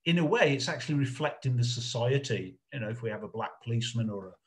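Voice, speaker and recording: A middle-aged man with a mid-range voice gives an informal talk as a lecturer. He speaks in a halting way, working out his thoughts aloud as he explains. It sounds like an online slideshow presentation made from indoors. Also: medium pitch, animated. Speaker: lecturer on puppet history.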